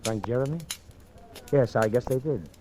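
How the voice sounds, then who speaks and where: A man's voice: medium pitch, normal speed, dark tone, slight background noise, close to an everyday voice. An actor in 1940s radio style playing a confident, flirtatious Hollywood man with a breezy, persuasive manner. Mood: casual, guarded. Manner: breezy, insinuating, self-assured.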